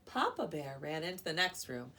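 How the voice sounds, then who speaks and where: Animated woman reading aloud, slightly creaky voice. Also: sits low, moderate pace, far from the mic, slight background noise. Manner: expressive, prompting listeners with questions. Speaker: preschool teacher. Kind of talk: animated read-aloud of a picture book to young children.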